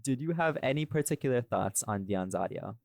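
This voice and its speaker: A man's voice: mid-range voice, lively intonation, brisk, vocal fry, a little mellow, no room sound, studio quality. A man hosting a casual podcast discussion, rambling and thinking aloud as he goes. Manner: rambling, thinking aloud, often checks with "right?". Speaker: podcast host and audio series creator.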